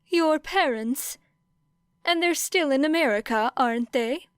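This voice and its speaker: A woman's voice: high-pitched, a little slow, no echo, studio quality, pitched above the performer's own voice. A woman voicing a courteous, shrewd, upbeat animal school principal in an audiobook, with polite, formal phrasing. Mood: polite, probing. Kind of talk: acted audiobook dialogue.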